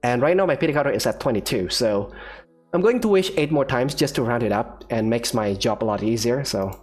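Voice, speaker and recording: A man giving casual, expressive let's-play commentary, often repeating his phrases. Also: medium pitch, a little fast. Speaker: gaming video creator.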